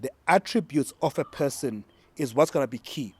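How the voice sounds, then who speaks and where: A man's voice: mid-range voice, normal speed, a little mellow, breathy edge. A middle-aged South African man from the Eastern Cape, a native Xhosa speaker, arguing his point emphatically and repeating key lines in a casual debate. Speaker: discussion panelist.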